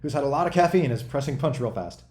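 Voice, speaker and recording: A man in his forties chatting casually as a host, rambling and thinking out loud in a self-deprecating way. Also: medium pitch, expressive, fast, echoey room. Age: 40s. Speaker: host and game developer answering chat questions. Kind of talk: casual freeform chat.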